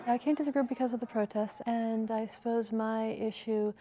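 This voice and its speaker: A woman answering interview questions thoughtfully, pausing with fillers as she goes. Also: on the high side, fairly even intonation, heavy background noise. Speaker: activist interviewee.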